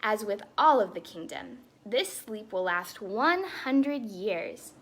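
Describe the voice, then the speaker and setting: A woman voicing a kind good fairy in a storybook, proclaiming in a measured, reassuring way. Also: medium pitch, expressive. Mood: kind, solemn. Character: kind, lovely good fairy making a gentle promise.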